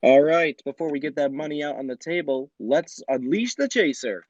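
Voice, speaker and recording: A man's voice: on the high side, expressive, normal speed, darkish tone, lo-fi sound, a little hiss or hum. A man hosting a quiz show, addressing contestants directly in a presenter's delivery. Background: background music.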